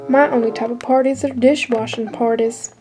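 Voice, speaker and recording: A woman's voice: a little high, steady tone, normal speed, warm tone, faint music behind, close to an everyday voice. A woman playing a folksy, scolding older woman with a put-on Southern accent in an amateur skit. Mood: baffled, folksy. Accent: put-on American Southern.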